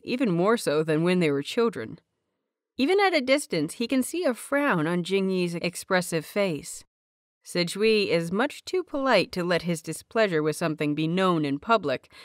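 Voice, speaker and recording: Lower-voiced woman narrating a story. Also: no room sound, clean studio sound. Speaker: audio fiction narrator. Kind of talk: scripted narration of a fan fiction story.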